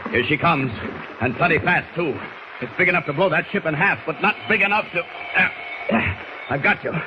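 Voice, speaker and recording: A man's voice: on the high side, normal speed, natural voice. An American man playing a resolute superhero in a 1940s radio serial, thinking aloud in a clipped, declamatory way. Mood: determined. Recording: noisy old 1940s radio recording.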